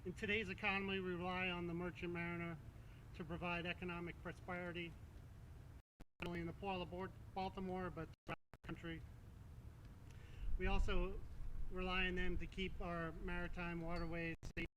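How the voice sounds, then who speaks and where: A man delivers a semi-formal ceremony speech as a visiting officer. His voice sits quite high for a man, and he proceeds haltingly with frequent pauses. There is clear vehicle and background noise behind him. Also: little pitch movement, pushed and bright, poor audio. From East Hampton, New York. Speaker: Coast Guard captain, guest speaker.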